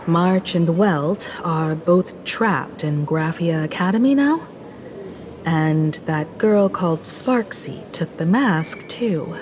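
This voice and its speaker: An actress playing a composed, caring and analytical young woman in a video game, speaking in a measured, earnest, articulate way. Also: on the low side, noisy background. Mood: concerned, gentle. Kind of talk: video game voice acting, expository dialogue. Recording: studio voice over game music.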